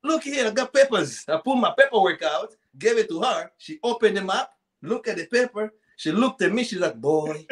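A high-voiced man with a Central African accent tells a personal story in a casual, conversational way. He often repeats phrases and slips into reported dialogue as he goes. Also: expressive, moderate pace, few pauses. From Congo. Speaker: podcast guest, poet. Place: small indoor room at home, video call.

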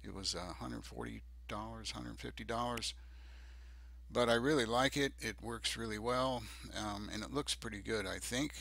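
An older man narrating a DIY project video off the cuff, halting and hesitant, with many ums. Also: medium pitch, steady tone, creaky, fairly bright tone, no echo. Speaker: DIY presenter.

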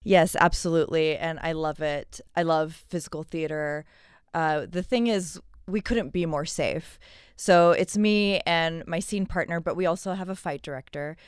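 An American woman speaks with a low, resonant voice in a close, dry recording. She talks conversationally, as an actor being interviewed about her work. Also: moderate pace, clear, resonant tone, dry recording. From San Diego, California.

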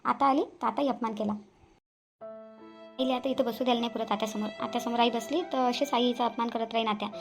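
A woman's voice: a little high, fairly even intonation, quick pace, over music, natural voice. A woman voicing an earnest, easily hurt young daughter-in-law in a cartoon family drama, with a Varhadi Marathi accent. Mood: aggrieved. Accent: Varhadi (Vidarbha) Marathi.

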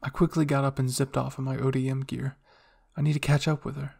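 Husky, creaky-voiced man reading a story. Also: medium pitch, flat delivery, fast, a little mellow. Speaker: audio fan fiction reader. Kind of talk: dramatic first-person story narration, ASMR roleplay.

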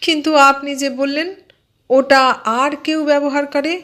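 A woman voicing a nosy, excitable man in an audio story, eagerly questioning and exclaiming. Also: high-pitched, normal speed, voice pushed up. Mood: curious, thrilled.

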